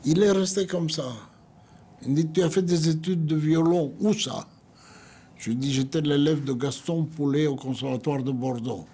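A man's voice: a little high, dark tone, slightly rough. An older man from Greece, recounting his memories unhurriedly in a studio interview and acting out what others said. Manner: unhurried storytelling, acting out others' words in quotes.

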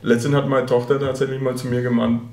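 A middle-aged man from Upper Franconia, hosting a relaxed interview chat in a small office room. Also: medium pitch, fast, echoey room. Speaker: podcast host. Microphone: table microphone.

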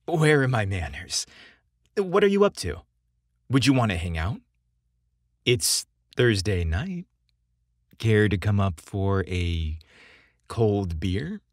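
Guy doing a polite flustered young guy with a crush, audio story, very lively pitch, close dry sound. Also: takes it slowly, long pauses, dry recording, heavily acted. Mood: friendly, inviting. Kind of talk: audio story character dialogue.

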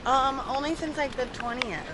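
A woman's voice: sits high, moderate pace, a crowd in the background, low-quality recording. A woman talking in a friendly, upbeat way with a stranger while walking outdoors. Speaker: fellow tourist. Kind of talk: casual conversation. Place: outdoors on a forest trail. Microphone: picked up by someone else's handheld camera. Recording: outdoor camera audio with steady background noise.

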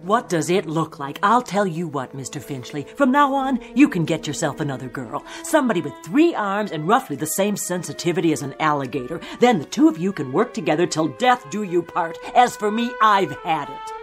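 Fed-up female secretary, sarcastic, biting, animated, medium pitch, radio drama, music behind. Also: brisk, few pauses.

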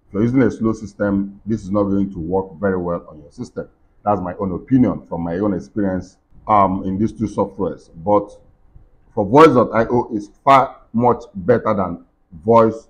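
Guy doing a casual tech tutorial, husky voice, kinda muffled lo-fi mic. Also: lowish voice, moderate pace. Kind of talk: casual software tutorial, talking to viewers. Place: small indoor room. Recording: dull, muffled lo-fi sound.

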